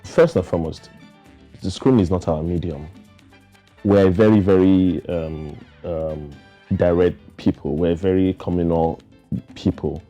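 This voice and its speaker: A Nigerian man speaks as an interview guest in a low, very soft and dark voice. He explains his points thoughtfully, repeating words for emphasis. Faint music sits behind him. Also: steady tone, a little slow. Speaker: guest theatre practitioner.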